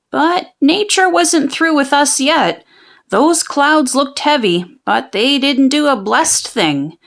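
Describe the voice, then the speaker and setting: A woman narrating a children's storybook in an expressive, folksy storytelling manner. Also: high voice, vocal fry. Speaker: children's book read-aloud narrator. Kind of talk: animated storybook narration of a folksy tall tale.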